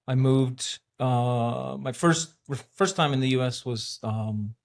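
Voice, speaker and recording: A man in his forties from Norway, a youth soccer coach and native Norwegian speaker, calmly telling his story with frequent ums. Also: mid-range voice, slow pace, vocal fry. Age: 40s. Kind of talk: casual interview answers.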